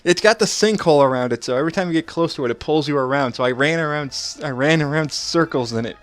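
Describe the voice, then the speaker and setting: A man's voice: fairly high pitch, fast, dry recording. A thirty-year-old man hosting a casual let's play, commenting on the game and often chuckling between remarks. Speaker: gameplay video host.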